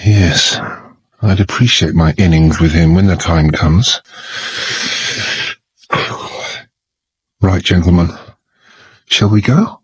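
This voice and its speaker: An actor playing a gallant but shaken young gentleman, speaking haltingly and emotionally with well-bred politeness. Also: deep voice, husky, dry recording, exaggerated voice, low-quality recording. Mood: ashamed, resolute.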